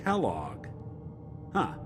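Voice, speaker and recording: A lowish American voice for a synthetic noir gumshoe in a game. He is weary but kindly, wry and musing, with loud music underneath. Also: wildly swooping pitch, very slow, heavily acted. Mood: wry, grim. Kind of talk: video game acting in film-noir manner.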